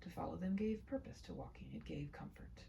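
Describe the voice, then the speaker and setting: A woman reading her own short story aloud in a hushed, deliberate way, often slipping into whispers, with slow phrasing and dramatic pauses. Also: low voice, animated, gravelly. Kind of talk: read-aloud of a literary short story, soft and ASMR-leaning.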